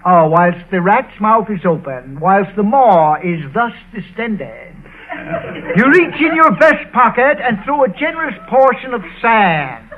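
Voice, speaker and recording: A man playing a befuddled comic storyteller in an old radio show, halting and pompous, with flowery phrasing and repetition. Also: high voice, big pitch swings. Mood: absurdly solemn. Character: befuddled, hesitant comic storyteller with absurd logic. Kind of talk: old-time radio comedy patter. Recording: noisy, tinny old-time radio recording.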